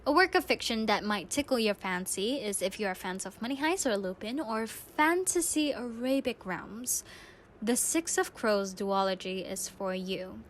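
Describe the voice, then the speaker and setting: A woman's voice: mid-range voice, normal speed, slight background noise. A young adult woman hosting a podcast, delivering scripted radio-style talk and recommendations. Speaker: podcast host.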